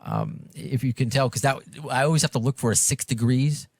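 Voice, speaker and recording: A man's voice: medium pitch, lively intonation, a little fast, gravelly, no room sound, studio quality. A man with a New York accent hosting a podcast, chatting casually in a rambling, self-deprecating way. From Long Island and Brooklyn, New York. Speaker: podcast host. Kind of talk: casual interview chat.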